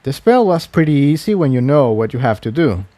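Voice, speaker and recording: A man voicing a modest young male unicorn pony, soft-spoken and earnest, in a storybook reading. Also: mid-range voice, sing-song, a little fast, no echo, lightly acted. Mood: sheepish.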